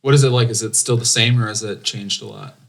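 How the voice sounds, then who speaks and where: A man's voice: lowish voice, steady tone, quick pace, creaky, a bit bright. A man hosting a podcast, asking his guests short, friendly questions and prompting them along. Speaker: podcast host. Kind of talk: casual interview chat.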